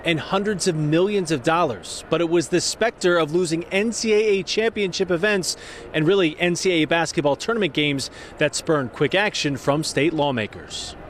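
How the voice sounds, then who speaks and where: A man with a fairly high voice reports live for TV news. He reads in a scripted broadcast style, as a sports anchor out in the field. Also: a little hiss or hum.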